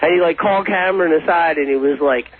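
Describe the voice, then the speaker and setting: A man's voice: fairly high pitch, quick pace. A man in his twenties calling in to a radio show, rambling through casual anecdotes in slangy slacker talk over the phone. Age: 20s. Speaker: phone-in recurring guest. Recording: muffled phone call on radio.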